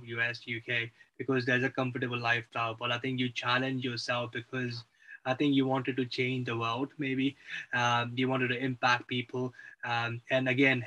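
A man's voice: mid-range voice, pushed and bright. A man co-hosts an interview, rambling a little as he frames his questions. His voice comes through dull, lo-fi audio. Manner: rambling, hesitant phrasing. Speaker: co-host. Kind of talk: interview hosting.

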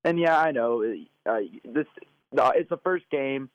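A man co-hosting a sports podcast by phone, hoarse from yelling, talking casually with many fillers. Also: mid-range voice, measured pace, dry recording. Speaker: podcast co-host. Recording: phone line.

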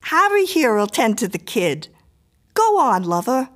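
A woman's voice: a little high, very animated, a little slow, a bit bright, pitched above the performer's own voice. A woman voicing a brassy young woman in an audiobook, bossy but consoling, with blunt, slangy talk. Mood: soothing, brisk. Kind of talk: audiobook character acting.

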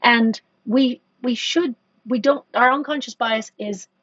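Woman, executive guest, husky mid voice, dull dry podcast sound, thoughtful and hesitant. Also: a little high, measured pace, fairly bright tone, no room sound. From Ireland. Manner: thoughtful, many restarts and fillers. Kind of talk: conversational podcast interview.

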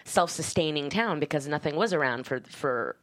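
A woman screenwriter being interviewed on a casual panel, talking in a halting, rambling, conversational way. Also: low voice, lively intonation. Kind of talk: casual panel chat about a script.

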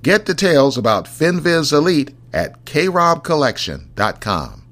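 A man's voice: on the high side, sing-song, measured pace, fairly bright tone. An American man hosting a podcast, reading scripted introductions and adverts. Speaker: podcast host.

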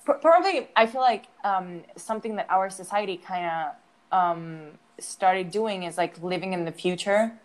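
A woman's voice: mid-range voice, animated, normal speed. Hesitant young woman, American accent. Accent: American English. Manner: hesitant, many restarts and fillers. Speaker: actor and English teacher. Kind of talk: casual chat.

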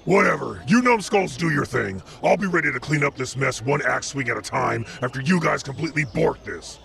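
Casting: man as grumpy cartoon axe fighter, gravel in the voice, blunt and fed up. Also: mid-range voice, animated, a little fast, fairly bright tone. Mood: grumbling. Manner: blunt, exasperated. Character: gruff, grumpy, axe-loving male party boss.

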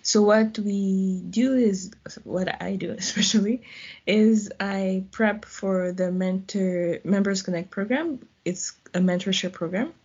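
Husky woman, chatty guest. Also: mid-range voice, a little slow, darkish tone, highs cut off. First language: French. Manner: chatty, laughs often, uses "like". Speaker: guest, volunteer. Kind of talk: casual interview answers.

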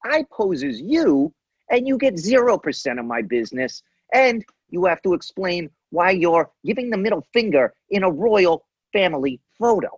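A man's voice: high voice, sing-song, normal speed, husky, highs cut off, exaggerated voice. A man voicing William, a smug, scheming young royal heir, with a put-on Polish accent and a puffed-up, snooty delivery.

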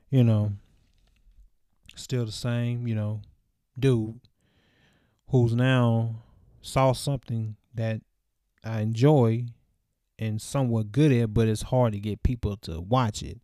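A man's voice: medium pitch, fairly even intonation, slow, pauses often, mellow, no room sound, studio quality. A man in his twenties talking to camera in a loose, reflective monologue full of fillers, recorded in a small room. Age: 20s. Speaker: vlogger. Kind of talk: casual rambling monologue.

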